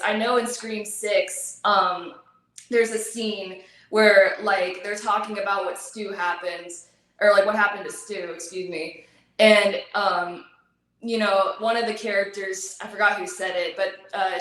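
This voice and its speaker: A talkative woman guest chatting in a very echoey room. She fills her speech with ums and likes, laughs easily, and her voice is wearing thin and hoarse. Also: medium pitch, fairly even intonation, measured pace, a bit bright. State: losing her voice. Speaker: actress guest. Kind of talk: casual interview chat.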